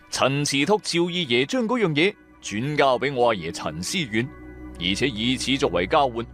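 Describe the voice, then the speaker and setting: A male audio drama narrator with a Cantonese accent. He tells the scripted tale theatrically, his pitch moving widely from phrase to phrase over faint background music. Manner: theatrical, expressive.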